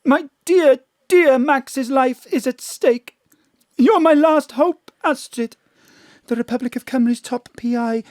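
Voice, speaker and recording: Seductive gangster's widow, highish voice, flirtatious, melodramatic, comic noir radio play, dry recording. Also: female voice, slow, a little mellow, dry recording. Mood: flirtatious pleading. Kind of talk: noir-parody audio drama acting.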